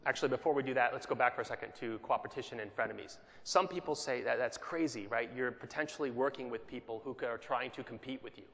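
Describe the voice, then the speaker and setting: A man's voice: medium pitch, quick pace, fairly bright tone. A man giving a conference keynote, conversational, often checking in with the audience by asking "right?". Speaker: keynote speaker. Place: conference hall. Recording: long hall reverb.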